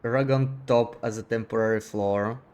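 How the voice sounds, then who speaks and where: A man's voice: medium pitch, fairly even intonation, moderate pace, darkish tone, clean tone, dull audio. A man streaming a game live, chatting casually with viewers, thinking aloud with frequent restarts. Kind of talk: casual gameplay chat, reading viewer comments.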